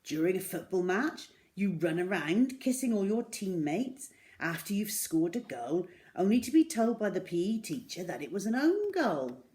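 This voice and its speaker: A woman reading a children's story aloud in an expressive, dramatic way, with deliberate pauses. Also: mid-range voice.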